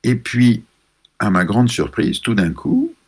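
A man from France, an author, telling a reflective personal story in a measured, pausing way, searching for his words. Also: on the low side, wildly swooping pitch, slightly rough.

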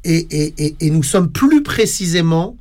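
A man being interviewed on the radio about his theatre company, talking haltingly with many fillers and repeated words. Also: on the high side, big pitch swings, a little mellow. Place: radio studio.

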